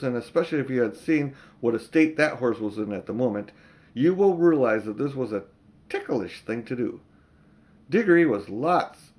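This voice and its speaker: A man's voice: mid-range voice, darkish tone. A man reading an audiobook, narrating a storybook and adding informal asides to the listener. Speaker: audiobook reader.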